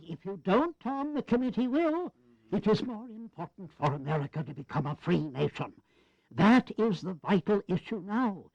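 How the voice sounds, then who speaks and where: An actor playing a shrewd, witty elder statesman in a historical audio drama, with a wry, deliberate delivery. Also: sits high, big pitch swings, vocal fry, dry recording, highs cut off, full character voice. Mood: firm, pragmatic. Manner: droll, theatrical, deliberate.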